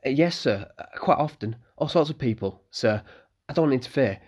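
A man's voice: medium pitch, animated, a little fast, a little mellow, voice pushed up, natural voice. A man voicing an eager, polite eleven-year-old innkeeper's boy who keeps calling the guests sir.

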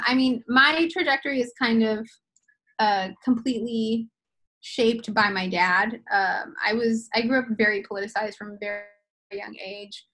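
A woman's voice: a little high, bright, forceful tone, rough recording. A woman talking informally and reflectively as a guest in a conversational interview. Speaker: guest, community organizer and campaign manager.

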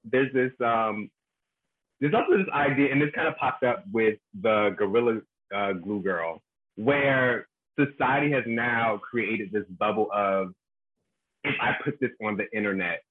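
Man, reflective, husky low voice, tinny phone-like sound. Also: dry recording. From South Carolina, US. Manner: reflective, measured with fillers. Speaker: panelist. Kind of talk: casual discussion. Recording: muffled phone-like line.

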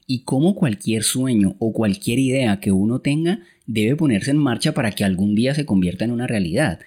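A man's voice: mid-range voice, lively intonation, moderate pace, a little mellow. A man with a Colombian Spanish accent co-hosting a travel podcast in a conversational style. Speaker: podcast co-host.

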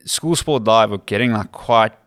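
Man, thirties, Cape Town accent, low voice, dry recording, earnest conversational storytelling, interview guest. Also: moderate pace, a bit bright, no room sound. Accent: South African, Cape Town. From South Africa. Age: 30s. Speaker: rugby commentator, podcast guest.

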